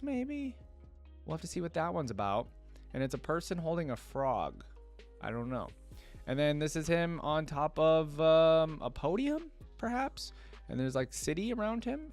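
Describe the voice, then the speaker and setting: A man hosting a reaction video, casually commenting, reading aloud and thinking out loud as he explains things. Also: fairly high pitch, big pitch swings, normal speed, vocal fry, soft background music.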